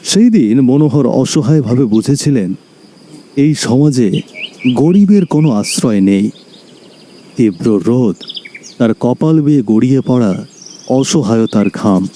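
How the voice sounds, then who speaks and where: A man narrating a scripted dramatic story in a solemn, deliberate way, with music behind him. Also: medium pitch, lively intonation, mellow, a little hiss or hum.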